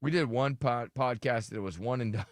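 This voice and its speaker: A man's voice: medium pitch, moderate pace, dry recording, clean studio sound. A man hosting a radio show, chatting casually and complaining about himself with self-deprecating humor; his microphone sounds thin and tinny. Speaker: radio host. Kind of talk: casual morning radio banter. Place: radio studio. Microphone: studio radio microphone.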